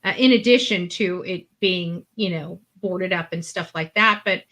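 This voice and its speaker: Woman guest panelist, bright forceful voice, blunt and emphatic, casual opinionated talk. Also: fairly low pitch, unhurried. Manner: blunt, emphatic, repetitive, swearing. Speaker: guest panelist on a true-crime stream.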